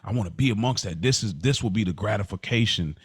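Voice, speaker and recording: A man's voice: on the low side, animated, fast talker, slightly rough, dry recording, studio quality. A man co-hosting a casual chat podcast, laid-back, often murmuring along in agreement. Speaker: podcast co-host.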